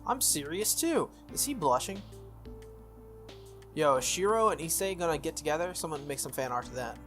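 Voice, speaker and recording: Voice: mid-range voice, fairly quick, a bit bright, faint music behind. A game playthrough host reading visual novel text aloud, sometimes stumbling and rereading, with casual asides.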